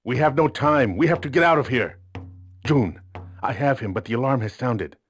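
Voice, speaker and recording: An actor playing a grave, determined warrior hero in a video game, speaking solemnly and tersely. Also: male voice, mid-range voice, expressive, moderate pace, natural voice. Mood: urgent. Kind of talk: video game cutscene acting. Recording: game audio with music behind.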